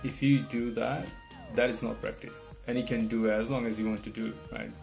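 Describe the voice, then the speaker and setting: A man's voice: medium pitch, level tone, moderate pace, vocal fry, a little mellow. A man whose first language is not English gives rambling test-prep advice, restarting often and checking in with "right?". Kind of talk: casual advice talk to camera. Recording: guitar music in the background.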